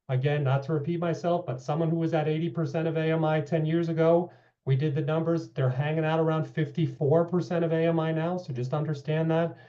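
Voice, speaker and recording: A man with a somewhat high, mellow voice, slightly hoarse from a long day, briefing a public meeting on housing figures. He talks in a steady presenter manner with casual asides. The sound is dull and lo-fi. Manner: briefing with data, asides of okay and you know.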